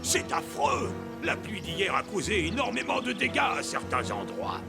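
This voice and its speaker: A man's voice: sits high, huge pitch swings, normal speed, creaky, full character voice. A man voicing an alarmed, concerned townsperson in a children's cartoon, exclaiming with worry. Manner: exclaiming, worried. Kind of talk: French-dubbed children's cartoon acting. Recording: loud music behind.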